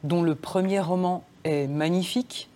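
A woman born in France, a crime novelist guest, answering interview questions thoughtfully and softly, pausing to search for words. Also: fairly low pitch, fairly even intonation. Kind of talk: reflective studio interview talk. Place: TV studio.

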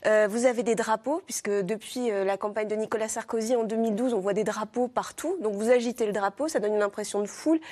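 A woman political journalist explaining campaign events on a studio panel, quick and full of restarts. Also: on the high side, warm tone. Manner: fast, explanatory, many restarts.

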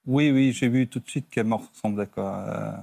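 A middle-aged man, a talk show guest recalling his own life in a halting, plain-spoken, casual way. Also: mid-range voice, vocal fry, warm tone, no room sound, studio quality. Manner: halting, plain-spoken, often tags phrases with a filler. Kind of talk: casual personal recollection. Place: TV studio.

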